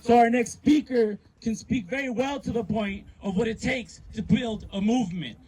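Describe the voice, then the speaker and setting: A man with a high-pitched voice addresses a protest rally outdoors. He projects loudly and rousingly as an emcee would. It is a live outdoor recording with crowd sound behind him. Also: normal speed, slightly rough, rough recording. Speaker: rally emcee and chant leader.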